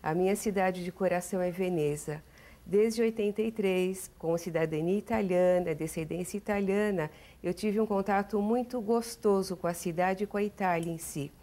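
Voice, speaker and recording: A middle-aged woman with a São Paulo accent, a watercolor artist answering interview questions in a relaxed, chatty way. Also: medium pitch, normal speed. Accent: Paulistano Brazilian Portuguese. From São Paulo, Brazil.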